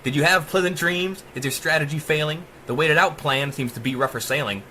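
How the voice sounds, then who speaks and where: Man voicing a taunting, manic AI, pitched up. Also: brisk, pushed and bright, a little hiss or hum. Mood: mock cheerful. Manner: mocking, theatrical, speaking in rhyme. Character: taunting, manic, cruel female AI household hologram. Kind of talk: dramatic audiobook reading.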